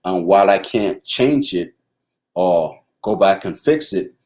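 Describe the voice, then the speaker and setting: A middle-aged man from the Bahamas with a Bahamian accent, talking casually about family and marriage, pausing and restarting often. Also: sits low, expressive, darkish tone. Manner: halting, many restarts and fillers. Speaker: husband co-host. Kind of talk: casual conversation.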